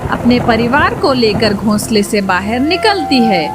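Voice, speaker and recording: Animated, high-voiced woman storyteller. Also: measured pace, heavy background noise. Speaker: narrator. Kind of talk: scripted children's story narration. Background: loud music.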